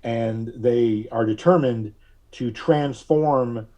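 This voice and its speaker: A man giving measured, carefully worded explanations as a podcast guest. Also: mid-range voice, warm tone. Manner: measured, deliberate, precise wording. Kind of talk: explanatory interview talk.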